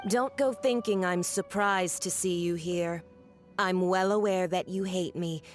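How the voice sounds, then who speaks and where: A woman voicing a haughty, cruel noblewoman villain who speaks in a cold, condescending, mocking way in a video game. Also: on the low side. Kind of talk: video game cutscene acting.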